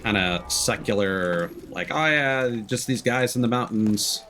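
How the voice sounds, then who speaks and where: A man's voice: lowish voice, lively intonation, measured pace, pushed and bright, slight background noise. A man hosting a livestream, chatting casually and commentating on his game with rambling, wry asides. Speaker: livestream gamer and host. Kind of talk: casual gameplay commentary and chat.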